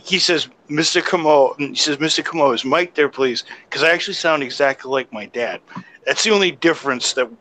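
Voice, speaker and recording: A man's voice: a little high, bright, forceful tone. A man from the Boston area with a Boston accent, rambling in a casual group chat and restarting his sentences.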